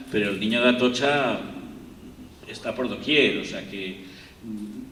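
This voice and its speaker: A man from Spain with a Spanish accent, giving a rambling university lecture with many fillers and restarts. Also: medium pitch. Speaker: guest lecturer. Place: lecture hall. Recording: echoey hall, distant, faint room noise.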